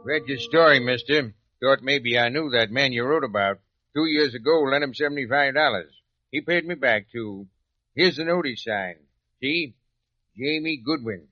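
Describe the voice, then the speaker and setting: An actor playing a plain-spoken witness in a radio drama, talking in terse, clipped phrases. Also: male voice, mid-range voice, normal speed, no room sound, close to an everyday voice. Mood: matter-of-fact. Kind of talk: 1950s radio drama acting. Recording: dull lo-fi old radio recording.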